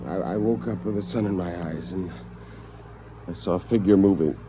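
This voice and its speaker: A man's voice: low-pitched, normal speed, lo-fi sound, heavy background noise, natural voice. An actor playing an earnest, agitated and hapless unemployed man who tells his own story in 1950s radio drama style. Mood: rueful narration. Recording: old radio recording, music behind.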